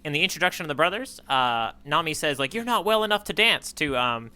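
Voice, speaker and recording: A man's voice: a little high, expressive, quick pace, pushed and bright, clear, resonant tone. A man co-hosting a manga readalong podcast in casual chat, riffing playfully. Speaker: podcast co-host.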